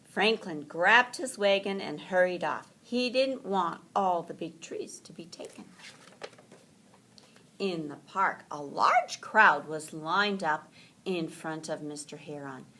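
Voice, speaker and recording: A woman reading a children's story aloud in an animated, deliberate storytelling manner. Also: lowish voice.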